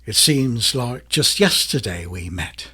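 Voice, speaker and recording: A man's voice: mid-range voice, animated, takes it slowly, bright, forceful tone, slightly rough, exaggerated voice. An actor playing a chummy, unhinged London man on trial, justifying himself in a radio drama monologue. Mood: wistful.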